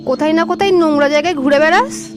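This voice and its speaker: A woman's voice: sits high, rapid-fire, music in the background, lightly acted. A woman voicing a snobbish female pilgrim in a cartoon, shrilly shooing children away. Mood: disgusted.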